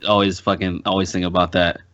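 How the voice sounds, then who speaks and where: A man in casual podcast chat, rambling and halting, swearing freely. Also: sits low, little pitch movement, fairly bright tone, muffled recording. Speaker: podcast guest. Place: indoor room on a group video call.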